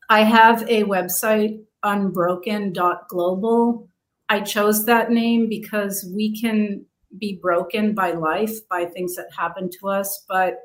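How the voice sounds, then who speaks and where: Woman interview guest, deliberate and slow, hesitant with ums. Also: mid-range voice, poor audio. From grew up abroad in several countries. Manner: halting, many fillers. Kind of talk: interview answers.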